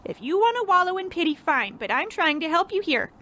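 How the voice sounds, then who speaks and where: A woman's voice: very high-pitched, sing-song, brisk, a bit bright, exaggerated voice. A woman playing a chipper, doting old-fashioned housewife in a radio play, coaxing and folksy. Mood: soothing, chiding.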